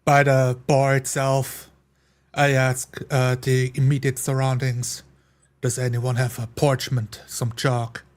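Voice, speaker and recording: A man playing a tabletop roleplaying game, describing his actions in a halting, deliberate way. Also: medium pitch, flat delivery. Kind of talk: improvised roleplay narration.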